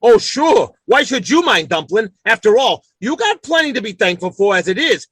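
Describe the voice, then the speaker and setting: A man's voice: high-pitched, very animated, normal speed, fairly bright tone, breathy edge, no echo, full character voice. A man playing a folksy, big-hearted, blundering family man in 1940s-style radio comedy, exclamatory and fumbling. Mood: cheerful, reassuring.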